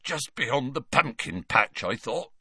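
A man voicing a huge, soft-hearted male groundskeeper, tearful and choked up, speaking in a folksy way, raised above his own voice. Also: fairly high pitch, sing-song, moderate pace, slightly rough, no echo, clean studio sound, voice pushed up, full character voice.

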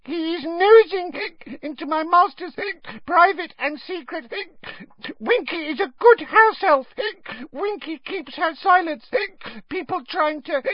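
A performer voicing a tipsy, tearful, fiercely loyal female house elf, squeaky and whimpering in broken speech for a storybook. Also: takes it slowly, dry recording, muffled recording. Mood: indignant, slurred. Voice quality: very high, squeaky. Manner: whimpering, hiccuping, broken third-person speech.

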